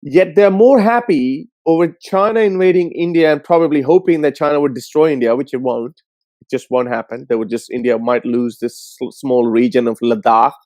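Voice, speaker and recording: A man's voice: medium pitch, normal speed, no room sound. Guy hosting a call-in show, blunt and mocking, super dry close mic. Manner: blunt, scornful, animated. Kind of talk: casual opinionated commentary.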